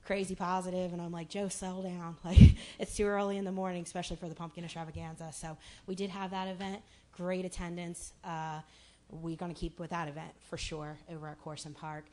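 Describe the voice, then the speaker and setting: Raspy-voiced woman chatting at a meeting. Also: lowish voice, level tone, brisk, mellow. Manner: chatty, full of fillers and restarts. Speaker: city official at commission meeting. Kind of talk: public address at a meeting. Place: city commission meeting room.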